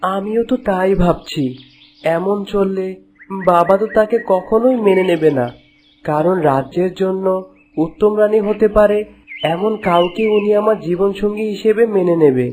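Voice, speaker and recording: A man's voice: high-pitched, normal speed, a little mellow, a little hiss or hum, lightly acted. A man voicing an earnest, troubled young prince in a cartoon, speaking politely. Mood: puzzled, worried. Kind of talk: cartoon voice acting.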